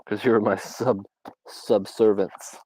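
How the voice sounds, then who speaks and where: A man's voice: lowish voice, steady tone, takes it slowly, warm tone, slight background noise, poor audio. A young man from Georgia in the US South, casually rambling on a livestream with lots of fillers and little laughs. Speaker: livestream host. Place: outdoors.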